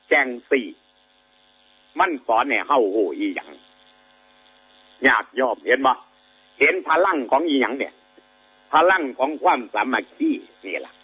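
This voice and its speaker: A monk with an Isan Thai accent gives a folksy sermon built around an old fable. His voice is bright and a little husky. He rambles conversationally, fills gaps with drawn-out sounds and keeps asking his listeners whether they agree. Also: mid-range voice, poor audio. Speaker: Buddhist monk giving a dharma talk.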